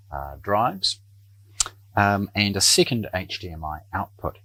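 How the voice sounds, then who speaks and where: Low, husky New man. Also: sharp tone, dry recording, low-quality recording. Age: middle-aged. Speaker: presenter of an audio shop's product videos. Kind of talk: unscripted product unboxing commentary. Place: small indoor room lined with shelves.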